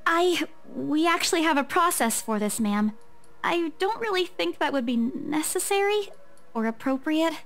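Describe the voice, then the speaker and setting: A woman's voice: sits high, lively intonation, moderate pace, fairly bright tone, soft background music, pitched above the performer's own voice. A woman voicing a polite young female real estate agent in a visual novel, deferential and hesitant, tending to stammer. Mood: uneasy, polite.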